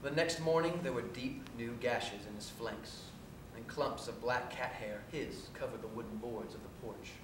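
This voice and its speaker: Echoey, far-off man reading a story onstage. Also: moderate pace. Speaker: reader performing a short story on stage. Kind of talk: live dramatic reading of a first-person story. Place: theatre stage at a live cabaret, recorded from afar. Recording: echoey distant stage recording, faint hiss and rumble.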